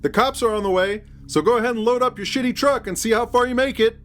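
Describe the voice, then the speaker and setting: A man's voice: extremely high pitch, fast, a bit bright, slight background noise, voice pushed up. A man voicing a hot-tempered, exasperated hero of a horror story, snapping and barking his lines. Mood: shouting, alarmed. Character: hot-tempered narrator hero, protective, exasperated adult child.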